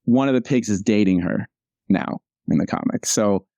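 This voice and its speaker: A man's voice: lowish voice, normal speed, creaky, mellow, dry recording, dull audio. A man chatting casually as an interview guest, rambling a bit and often correcting himself mid-sentence. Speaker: comic strip cartoonist, interview guest.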